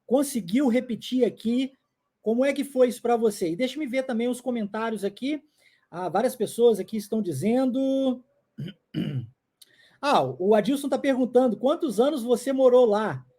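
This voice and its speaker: A man's voice: extremely high pitch, animated, normal speed, mellow. A middle-aged man who grew up in the United States and whose first language is English, teaching an online lesson live and checking in often with viewers.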